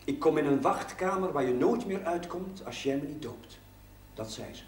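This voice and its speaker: Chaplain, male actor: earnest, deferential, lightish high pitch, TV drama, roomy faint-noise recording. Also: lively intonation, normal speed, lightly acted. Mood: sincere. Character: earnest, poetic young chaplain, deferential to his pastor. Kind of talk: naturalistic television drama dialogue. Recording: distant, echoey, slightly noisy television soundtrack.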